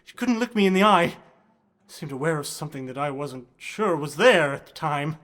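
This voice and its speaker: A high-voiced, earnest gentleman. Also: animated, normal speed. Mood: troubled, subdued. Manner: formal, earnest, dramatic. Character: earnest, anxious gentleman recounting uncanny experiments. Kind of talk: horror radio drama acting.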